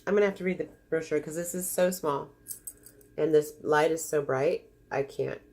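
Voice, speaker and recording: A woman's voice: deep voice, normal speed, darkish tone. Stuffy-nosed woman, casual beauty vlogger, gushing and chatty. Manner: chatty, gushing, easily disappointed. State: congested. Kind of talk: casual unboxing chat.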